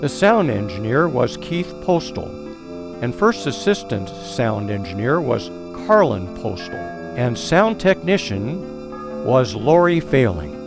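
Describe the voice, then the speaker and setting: A male announcer reads radio theater credits in a formal style. His pace is slow and deliberate, his pitch moves a lot, and guitar music plays clearly behind him. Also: very animated.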